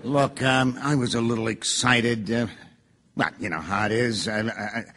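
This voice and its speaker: An actor playing a hot-tempered, scornful juror in a radio drama, forceful and sneering. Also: male voice, medium pitch, fairly even intonation, a little slow, a little hiss or hum, close to an everyday voice. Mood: grudging.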